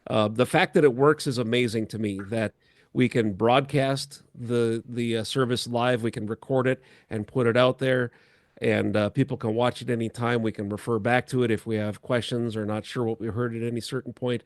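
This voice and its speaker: A man hosting a casual church chat show, speaking off the cuff in a halting way with frequent pauses. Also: mid-range voice, fairly even intonation, dry recording, studio quality.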